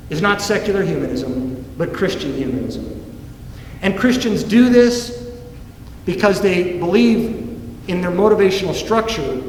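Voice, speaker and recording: A man giving a formal faith talk in an earnest, preacherly way, slowing down for emphasis. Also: high-pitched, echoey room, long reverb tail, far from the mic, a little hiss or hum, rough recording. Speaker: ministry president giving a talk.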